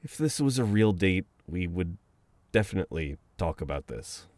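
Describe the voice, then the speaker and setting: Male actor as teasing, exasperated best friend with a dominant edge for intimate audio roleplay; lowish pitch, unhurried, very close dry sound. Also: big pitch swings, a little mellow, dry recording. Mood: reluctant, awkward. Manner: conversational, exasperated, breaking into chuckles and sighs.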